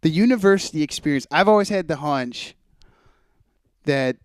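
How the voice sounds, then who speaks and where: This is a man in his mid-twenties with a clear, smooth voice, recorded dry and close. He talks like a podcast host in casual conversation. His delivery is wry and a little hesitant, as if working out each thought while he says it. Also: fairly high pitch, animated, normal speed, no echo.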